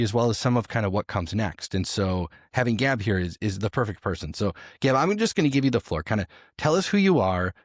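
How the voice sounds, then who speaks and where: A male podcast host with a mid-pitched voice whose pitch moves around a lot as he speaks. He sounds casual and enthusiastic, and the recording is close and dry. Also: dry recording. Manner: enthusiastic, conversational. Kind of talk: casual interview hosting.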